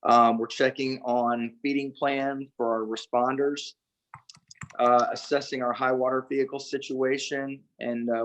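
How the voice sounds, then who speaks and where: Guy doing an official update on a conference call, pretty flat delivery, measured and matter of fact. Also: mid-range voice, rough recording. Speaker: city emergency management official. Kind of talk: spoken briefing to a council meeting.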